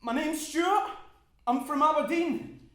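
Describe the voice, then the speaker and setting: An actor playing a desperate young Scottish student worker who laughs and shouts through his panic. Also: a little high, moderate pace, roomy sound, natural voice. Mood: frantic. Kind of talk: dramatic monologue acting.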